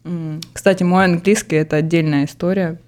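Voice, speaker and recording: A woman's voice: fairly low pitch, brisk. Chatty young woman telling date stories. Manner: chatty, laughs often, animated storytelling. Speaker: podcast co-host. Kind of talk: casual podcast chat.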